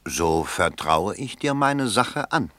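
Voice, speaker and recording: An actor in a German radio play voicing a middle-aged, eager, self-important election candidate. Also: male voice, lowish voice, big pitch swings, slow, a bit bright, dry recording, exaggerated voice. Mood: coaxing, eager.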